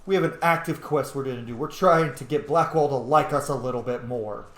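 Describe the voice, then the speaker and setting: A man's voice: medium pitch, a little fast, fairly bright tone, reverberant, slight background noise. A man giving casual, rambling let's play commentary as he games, with informal language and some swearing. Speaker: gaming video host.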